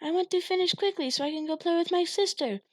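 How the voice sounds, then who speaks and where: A woman's voice: very high voice, fast, dry recording, pitched above the performer's own voice. A woman voicing a young boy who talks in a whiny, childlike way, recorded dry. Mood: pleading. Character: young boy, sulky and easily upset.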